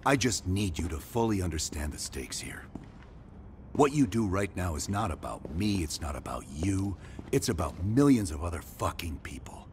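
An American actor playing a cold, commanding middle-aged CIA operative in a video game, with a grave, controlled delivery. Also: male voice, low-pitched, big pitch swings, normal speed, darkish tone, a little hiss or hum. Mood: grave, pressing. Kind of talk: cinematic video game acting.

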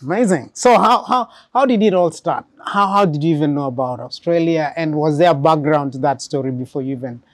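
A man's voice: on the high side, lively intonation, fairly quick, few pauses, dry recording, studio quality. A man interviewing a guest, asking curious, eager questions.

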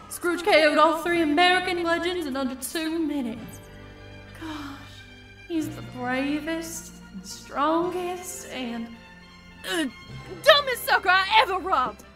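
A woman's voice: very high voice, expressive, measured pace, a bit bright, exaggerated voice. A woman voicing a greedy, feisty female cartoon duck in a fan comic dub, theatrical and scornful. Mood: scheming. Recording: roomy, distant home recording over music.

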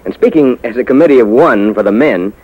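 A man's voice: mid-range voice, lively intonation, fast talker. An American man announcing in polished, emphatic scripted style on 1950s radio. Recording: dull vintage 1950s radio recording. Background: music.

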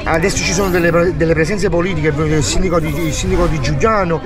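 A man who organized the event thanks everyone in a high voice. He stops and restarts his words as he lists the people to thank. Crowd chatter runs underneath. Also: steady tone, fast. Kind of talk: thank-you speech in an interview.

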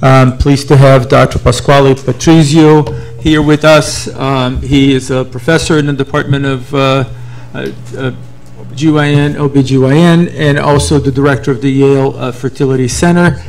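A man with a gravelly voice introduces a guest speaker in a lecture hall. He speaks at a middle pitch and stumbles through the introduction with many uhs. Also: steady tone, moderate pace, darkish tone. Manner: hesitant, many filler sounds. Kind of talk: formal introduction.

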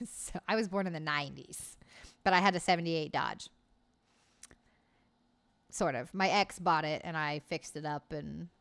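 A woman's voice: low voice, a bit bright, clean tone. A woman in her late twenties streaming from home, chatting casually with her viewers in a loose, cheerful way and swearing freely. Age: late 20s. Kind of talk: casual chat with live chat. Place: home room, indoors. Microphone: desk mic on arm, headset.

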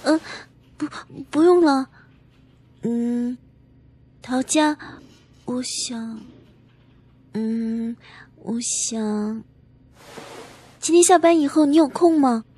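A woman playing a timid young female office clerk in an audio drama, halting and hesitant. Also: high-pitched, mellow, slightly rough, full character voice. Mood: shy, nervous.

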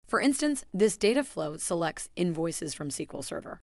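A woman giving a scripted, even voice-over that walks through software steps. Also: lowish voice, moderate pace, no echo, studio quality. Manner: even, instructional delivery. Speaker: software tutorial narrator.

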